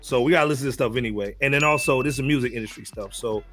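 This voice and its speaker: A man's voice: medium pitch, rapid-fire, music in the background. A man hosting a casual hip hop panel chat, talking in a slangy, joking way. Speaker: podcast host.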